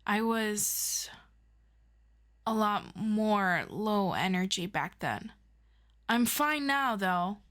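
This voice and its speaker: A woman's voice: mid-range voice, animated, extremely slow, pauses often, a bit bright. A woman playing an impatient, casual superhero best friend in an audio roleplay, with an offhand manner. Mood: defensive.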